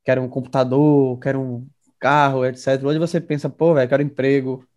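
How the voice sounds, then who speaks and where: A man's voice: mid-range voice, little pitch movement, a little fast, darkish tone. A young Brazilian man in his twenties in casual podcast chat, laid-back and slangy, tripping over words now and then. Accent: Brazilian Portuguese. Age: 20s. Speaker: podcast co-host.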